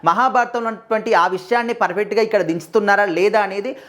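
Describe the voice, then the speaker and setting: A woman's voice: medium pitch, fairly even intonation, racing, fairly bright tone. A woman presenting entertainment news commentary in an emphatic, conversational explainer style, often repeating her phrasing. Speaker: presenter of film news videos.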